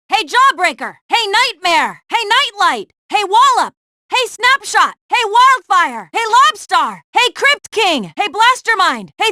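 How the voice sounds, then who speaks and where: A woman playing a spirited, capable young adventurer who guides missions in an animated video game, with a peppy, expressive, conversational delivery. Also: very high-pitched, no room sound, clean studio sound, full character voice. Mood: cheery greeting.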